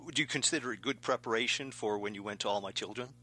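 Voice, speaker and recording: A man interviewing an actress, friendly and quick to add short replies of agreement. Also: mid-range voice, bright, forceful tone. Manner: friendly, backchannels often. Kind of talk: casual interview conversation.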